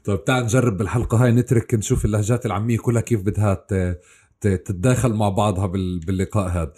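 A man hosting an interview in a relaxed, joking way. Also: lowish voice, rapid-fire, husky. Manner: relaxed, joking, laughs easily. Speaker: interview host. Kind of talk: casual interview chat.

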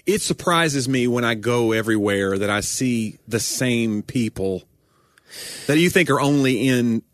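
A man's voice: mid-range voice, animated, unhurried, no echo. Southern guy cohosting a podcast, jokey rambling stories, dead dry mic. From Tennessee. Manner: joking, rambling storyteller. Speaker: podcast co-host. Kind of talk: casual chat.

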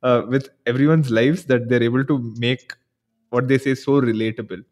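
A man's voice: mid-range voice, steady tone, normal speed, darkish tone. A man hosting a podcast in a casual, conversational way, agreeable and empathetic toward his guest. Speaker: podcast host, interviewer.

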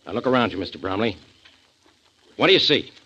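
An actor playing a brisk, commanding, self-satisfied police inspector in a 1940s radio crime drama. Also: male voice, lowish voice, animated, pushed and bright, slightly rough, full character voice. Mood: brisk, explaining. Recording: muffled, noisy 1940s radio recording.

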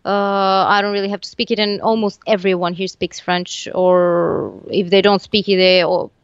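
A woman's voice: medium pitch, flat delivery, moderate pace, clear, resonant tone, no echo, dull audio. A woman whose first language is Serbian, a musician talking casually in an interview, hesitant with many fillers and restarts. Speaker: musician guest. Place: living in Tunisia.

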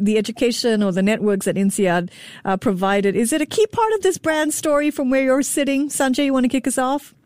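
A woman's voice: on the high side, darkish tone, no echo, clean studio sound. A woman hosting a business interview on the radio, presenting and questioning her guests.

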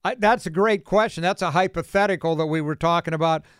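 A man's voice: on the high side, fast talker, a bit bright, clean tone, no echo, clean studio sound. An American man hosting a casual sports call-in radio show. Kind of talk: casual sports talk with callers.

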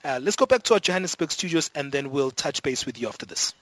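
Male radio talk host, raised pitch, loose rambling delivery. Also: expressive, quick pace, a bit bright, no echo. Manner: rambling, with many ums and uhs. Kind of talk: conversational broadcast interview.

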